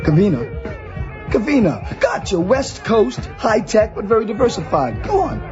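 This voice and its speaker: Slick company man at a party played by a man: high-pitched, breezy, clipped; muffled over music. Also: sing-song, measured pace. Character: glib, breezy male corporate party guest. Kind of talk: audio drama comedy acting. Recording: muffled audio with music behind.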